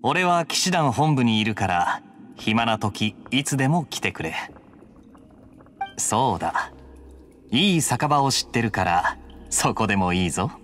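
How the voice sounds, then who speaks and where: A man's voice: medium pitch, lively intonation, unhurried, long pauses, a bit bright. An actor playing a suave, cocky, scheming young male knight captain in an anime game. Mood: smooth, friendly. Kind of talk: Japanese anime game dialogue.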